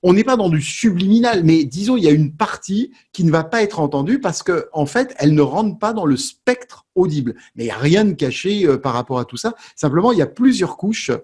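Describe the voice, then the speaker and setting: A man's voice: high voice, expressive, fairly quick, few pauses, a little mellow, husky. A man presenting an explanatory lecture to an audience, didactic, often posing questions and answering them himself. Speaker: presenter giving an online talk.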